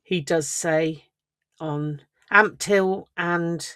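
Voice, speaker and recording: Low, dry-sounding woman podcast co-host. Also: steady tone, drawn-out words, a bit bright, smooth, no room sound. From England. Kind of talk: casual chat.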